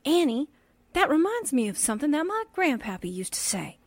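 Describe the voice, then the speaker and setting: An actress playing a folksy, eager newspaperwoman sidekick in a 1940s-style radio drama, with an expressive way of talking. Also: high-pitched, fairly quick, no echo. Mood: wry, folksy.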